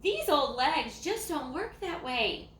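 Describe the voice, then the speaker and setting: A lazy, self-assured old hound dog from a children's storybook, voiced by a woman. The voice is high and bright, with a slow folksy delivery. It sits in an echoey room with faint noise. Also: big pitch swings, full character voice.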